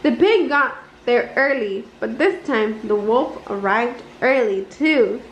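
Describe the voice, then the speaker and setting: A woman reading a children's picture book aloud with an expressive, deliberate storytelling delivery in an indoor room. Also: on the high side. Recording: roomy, dull home recording with noise.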